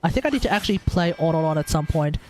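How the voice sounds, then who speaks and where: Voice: medium pitch, lively intonation, very fast, slight background noise. A live streamer giving casual gaming commentary, thinking aloud and talking to the chat.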